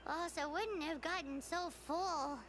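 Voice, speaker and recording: A woman voicing a tiny, childlike, greedy female companion sprite with a squeaky voice in a video game. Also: a little slow, fairly bright tone, slight background noise. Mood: chirpy. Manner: speaks of herself in third person.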